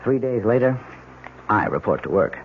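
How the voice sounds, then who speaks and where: An actor playing a confident, easygoing newlywed husband in an old-time radio drama, speaking in an agreeable way. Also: male voice, lowish voice, big pitch swings, moderate pace. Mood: breezy. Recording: dull, noisy old radio broadcast.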